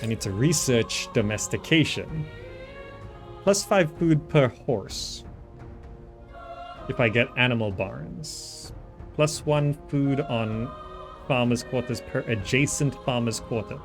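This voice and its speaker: Guy doing chill gameplay commentary, thinking out loud, repeats himself, game music in back. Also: mid-range voice, takes it slowly, long pauses. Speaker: gamer, channel host.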